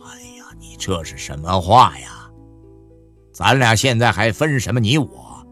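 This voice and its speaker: A man playing a gruff scolding husband. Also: lowish voice, sing-song, a little slow, sharp tone, soft background music, pitched below the performer's own voice, heavily acted. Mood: reassuring. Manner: scolding, brusque. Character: gruff middle-aged husband, bossy and scolding. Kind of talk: radio novel reading.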